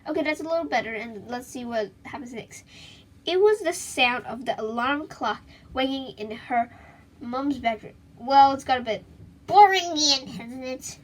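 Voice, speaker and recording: A woman reading a children's book aloud in an expressive, dramatic way, with chatty asides to her young listeners. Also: high-pitched, normal speed, a little hiss or hum.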